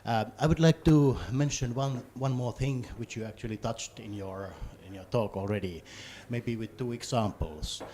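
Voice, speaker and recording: A mid-pitched man speaks up from the audience. He lays out his point in a deliberate, measured way. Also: dark tone, a little hiss or hum. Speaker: audience member asking a question. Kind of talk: question from the floor.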